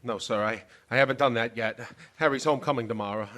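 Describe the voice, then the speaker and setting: Man acting a desperate, fast-talking young debtor. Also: medium pitch, fairly bright tone, natural voice. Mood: anxious. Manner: stammering, imploring. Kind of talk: radio theater acting.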